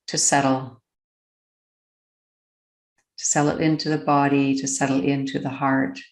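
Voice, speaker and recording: Low, creaky-voiced woman guiding meditation. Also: reverberant. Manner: gentle, deliberate, with long pauses. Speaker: guided meditation coach. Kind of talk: slow, unscripted guided meditation.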